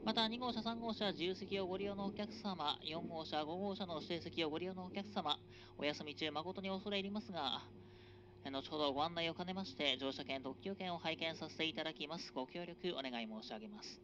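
Train conductor guy on the pa, slow formal announcer voice, muffled with loud train rumble. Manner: formal, measured announcer cadence with drawn-out phrases. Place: moving express train. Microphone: train PA system recorded from a passenger seat. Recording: dull, noisy onboard PA recording.

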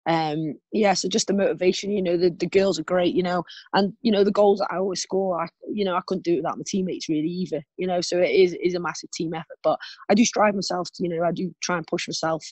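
A woman's voice: on the low side, fairly even intonation, fast, a little mellow, breathy edge, dry recording. A woman in her twenties chatting casually as an interviewee, in a rambling way with lots of verbal fillers like "you know". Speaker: interviewee, footballer. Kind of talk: casual chat. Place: remote video call.

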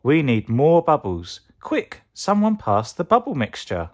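A man's voice: on the high side, huge pitch swings, a little slow, pitched above the performer's own voice, full character voice. A man voicing an eager, earnest, friendly cucumber in a children's storybook. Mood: excited.

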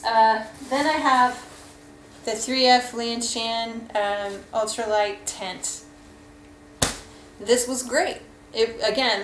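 A woman's voice: medium pitch, slight background noise. Chatty woman in an echoey kitchen. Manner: chatty, rambling, frank, many fillers. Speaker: hiking vlogger. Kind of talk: casual gear review. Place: kitchen at home, indoors. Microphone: webcam at desk. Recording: echoey room, faint ambience.

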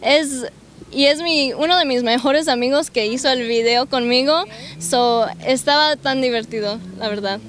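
Young woman from Los Angeles, high voice, bubbly singer interviewed outdoors on a handheld mic. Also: normal speed, fairly bright tone. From Los Angeles, United States. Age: young adult. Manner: bubbly, eager, slips in English words. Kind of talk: interview answers. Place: outdoors in a city park. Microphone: handheld mic held by interviewer.

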